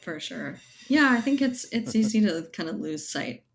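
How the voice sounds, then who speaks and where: A woman's voice: mid-range voice, big pitch swings, moderate pace, vocal fry, a little hiss or hum. A woman speaking as an interview guest, explaining her thinking in a casual, thoughtful, conversational way. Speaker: guest, writer and editor.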